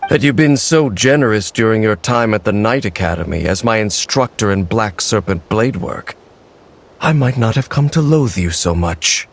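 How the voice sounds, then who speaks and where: An actor playing a stern, bitter immortal knight in a video game, speaking in a cold, measured, formal way. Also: male voice, on the low side, animated, a little hiss or hum. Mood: grudging. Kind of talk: dramatic video game voice acting.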